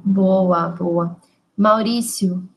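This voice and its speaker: A woman's voice: medium pitch, fairly even intonation, extremely slow, poor audio. A woman hosting an online group meeting, giving short friendly remarks and calling on each participant. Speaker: host of an online study group. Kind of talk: casual meeting moderation.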